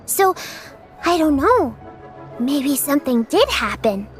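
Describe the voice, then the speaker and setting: A woman's voice: high-pitched, very animated, takes it slowly, faint music behind, heavily acted. A woman voicing a kind, sensible, chatty young girl in a video game. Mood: matter-of-fact.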